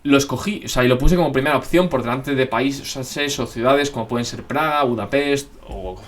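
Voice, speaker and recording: A man's voice: mid-range voice, normal speed, fairly bright tone. A young man from Spain with a Madrid accent, hosting a casual question-and-answer video and talking in a rambling way, full of filler tags and self-corrections. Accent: Madrid Spanish. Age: young adult. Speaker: basketball channel host. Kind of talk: casual Q&A monologue.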